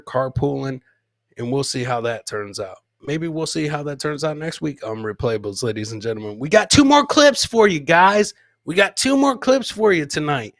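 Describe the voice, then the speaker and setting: Boisterous high-voiced American man hosting. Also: dry recording, studio quality. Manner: boisterous, shouting catchphrases, swearing freely. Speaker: comedy podcast host. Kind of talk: loud live commentary on funny clips.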